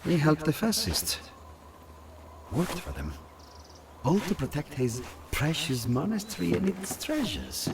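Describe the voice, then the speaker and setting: A man's voice: a little high, big pitch swings, measured pace, vocal fry, noisy background, full character voice. An actor playing a wary, sardonic male partisan in a war game. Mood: bitter.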